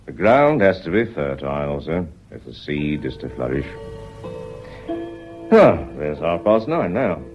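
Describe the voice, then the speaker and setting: An actor playing a shrewd, courteous police inspector in a British radio drama, deliberate and deferential as he explains and soothes. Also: rumbling low, sing-song, faint music behind, exaggerated voice. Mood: measured, courteous. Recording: dull muffled lo-fi audio.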